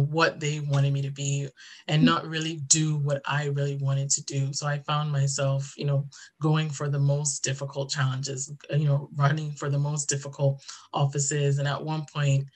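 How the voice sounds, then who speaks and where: A woman, telling her personal story earnestly as an interview guest. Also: deep voice. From Ghana. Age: 30s.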